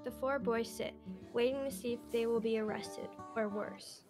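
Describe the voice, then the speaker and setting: A woman's voice: a little high, fairly even intonation, normal speed, darkish tone. A young woman reading scripted narration for an amateur radio drama. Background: music with guitar under the voice.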